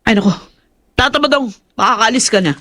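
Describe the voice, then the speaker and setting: A woman's voice: fairly high pitch, a little fast, sharp tone, dry recording. A woman playing a spiteful, stubborn young woman in a horror radio drama, with a curt, indignant manner.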